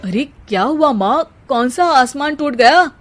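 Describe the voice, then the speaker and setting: Young cartoon husband voiced by a woman: slightly high voice, earnest, reasonable, protective. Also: expressive, a little slow, faint music behind. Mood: concerned.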